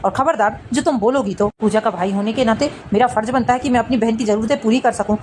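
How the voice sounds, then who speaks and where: A woman's voice: on the high side, quick pace, darkish tone, a little hiss or hum, pitched below the performer's own voice, close to an everyday voice. A woman voicing a stern, indignant grown son in a cartoon family drama, emphatic and devoted to his parents. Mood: indignant, scolding. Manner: scolding, emphatic, dutiful.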